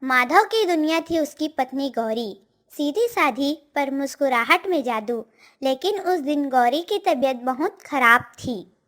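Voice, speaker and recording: High-pitched woman narrating a moral tale. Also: lively intonation, a little slow, fairly bright tone.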